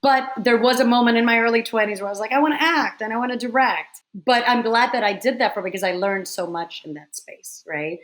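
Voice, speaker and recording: A woman in her forties from the Dominican Republic, telling stories in a casual interview with quick, animated restarts. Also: a little high. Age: 40s. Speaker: guest, theater director.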